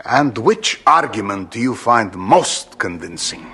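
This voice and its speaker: An actor playing a cruel, imperious sultan in a radio drama, commanding and scornful in manner. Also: male voice, lowish voice, big pitch swings, a little slow, gravelly, bright, forceful tone, husky, heavily acted.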